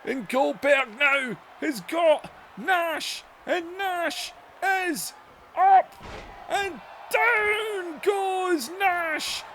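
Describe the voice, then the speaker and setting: An excitable man calls a wrestling video game match in a high voice, drawn out and unhurried between bursts of shouting. His voice is wearing hoarse, and a faint hiss of noise sits under the recording. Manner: excitable, shouts and laughs at big moves. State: voice going hoarse.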